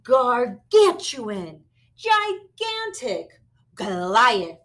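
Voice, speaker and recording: A woman voicing a word-loving storybook dinosaur with an emphatic, theatrical, drawn-out delivery. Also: on the high side, wildly swooping pitch, a bit bright, voice pushed up, heavily acted. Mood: savouring, excited. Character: wordy young dinosaur who savours grand describing words.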